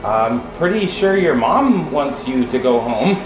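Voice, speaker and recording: A woman's voice: extremely low pitch, big pitch swings, unhurried, echoey room, over music, heavily acted, poor audio. A woman voicing an incredulous, confrontational woman who has just moved into a house, in an audio drama. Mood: exasperated.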